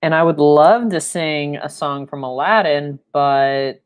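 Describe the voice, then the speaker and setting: A young woman with a notably low, deep-set voice talks at an unhurried pace while she paints. She rambles in a friendly, chatty way, full of fillers. She is heard through a camera mic a little way off in a room at home. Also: smooth. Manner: rambling and chatty, with fillers and playful sound effects. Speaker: painting instructor. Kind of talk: live-stream painting lesson with chatty asides. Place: home studio room, indoors.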